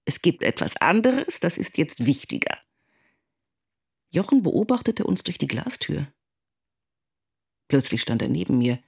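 Irritated, husky estranged spouse. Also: male voice, a little high, big pitch swings, a little slow, pauses often, exaggerated voice. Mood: irritated, matter-of-fact. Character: estranged spouse negotiating coolly, irritated and precise. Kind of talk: first-person radio drama monologue.

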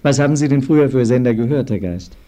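A man's voice: medium pitch, expressive, quick pace, mellow. A man working as a radio interviewer, putting questions in a formal, polite and composed way. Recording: 1950s archival radio recording.

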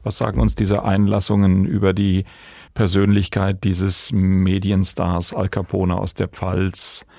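A man's voice: low voice, level tone, measured pace, creaky, a little mellow, no echo, clean studio sound. A man hosting a true crime podcast in a conversational discussion style. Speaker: podcast host.

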